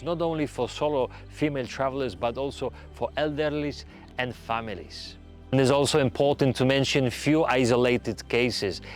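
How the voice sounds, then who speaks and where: A man speaks as a video host with a medium-pitched voice, giving earnest advice straight to his viewers. His phrasing is slightly non-native, and music plays softly behind him. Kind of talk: presenting travel safety advice to viewers. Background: background music.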